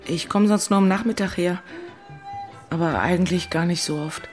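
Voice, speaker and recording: A woman's voice: on the low side, moderate pace, a little mellow, faint music behind, lightly acted. An actress playing a lovelorn, weepy and sardonic single woman from the city in a dramatic audiobook. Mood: hesitant, polite. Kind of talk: theatrical audiobook monologue and dialogue.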